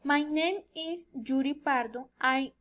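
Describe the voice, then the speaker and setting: A woman in her twenties from Colombia with a Colombian Spanish accent, a native Spanish speaker haltingly giving a rehearsed self-introduction as a student, often searching for words. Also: high voice, a bit bright, lo-fi sound. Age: 20s. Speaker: student giving an oral presentation.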